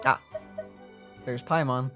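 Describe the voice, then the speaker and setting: A man's voice: mid-range voice, fairly even intonation, takes it slowly, bright, forceful tone, faint music behind. A man streaming a casual, playful, joking reaction to a video game.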